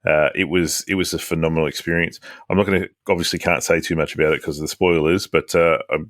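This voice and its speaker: A man's voice: low voice, steady tone, quick pace, a bit bright, dry recording. A man co-hosting a casual board game podcast, dry and teasing. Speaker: podcast co-host. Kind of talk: casual chat.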